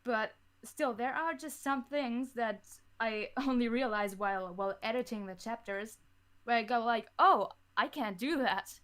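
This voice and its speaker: A woman's voice: on the high side, unhurried, fairly bright tone. A woman talking casually in an interview as a podcast guest who makes audio dramas.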